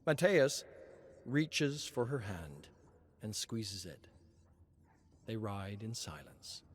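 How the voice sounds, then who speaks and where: A man reading a scripted dramatic story as narrator in a measured, suspenseful manner. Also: on the low side, very animated, a little hiss or hum.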